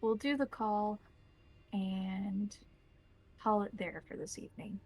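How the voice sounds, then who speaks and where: A woman narrating a tabletop roleplaying game as game master, improvising scenes in a measured, descriptive way. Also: medium pitch, poor audio.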